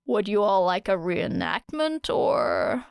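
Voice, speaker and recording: A woman voicing a dry, teasing, observant teenage boy with an unhurried, muttering manner in an audiobook. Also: medium pitch, huge pitch swings, creaky, clean tone, dry recording, heavily acted. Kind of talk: audiobook character voice.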